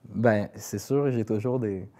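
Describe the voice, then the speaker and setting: Québécois male singer-songwriter as interview guest, soft dark timbre, slightly low pitch, conversational and searching. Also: slow pace. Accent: Quebec French.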